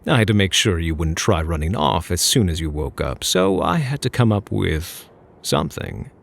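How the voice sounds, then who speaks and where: Casting: male actor for playful, smug gentleman thief, ASMR roleplay; gravelly, very animated delivery, teasing, flirty, mock-courteous. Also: deep voice, normal speed, a little hiss or hum. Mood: smug, mock-caring. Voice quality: husky, gravelly. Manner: teasing, mock-polite, theatrical.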